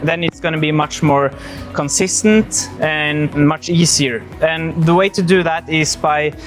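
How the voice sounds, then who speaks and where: A man coaching a handstand session, calm and encouraging, explaining each step plainly. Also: fairly high pitch, measured pace, faint music behind.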